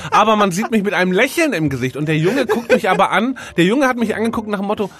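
A man's voice: high voice, expressive, quick pace, a bit bright, husky. Congested man telling rambling stories. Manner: rambling, self-mocking anecdotes. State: has a cold, congested. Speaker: radio co-host. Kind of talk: casual radio banter and storytelling. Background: faint music.

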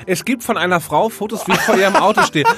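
A man's voice: sits high, lively intonation, quick pace, a bit bright. A man with a cold co-hosting a radio show, telling rambling, self-mocking anecdotes in casual banter. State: has a cold, congested. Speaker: radio co-host. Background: faint music.

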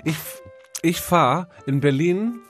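A congested man co-hosts a casual radio show, voice sitting a little high for a man. He spins long, self-mocking stories with loose, chatty energy. Faint music plays behind him. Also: expressive, takes it slowly. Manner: rambling, self-mocking anecdotes. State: has a cold, congested. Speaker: radio co-host. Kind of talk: casual radio banter and storytelling.

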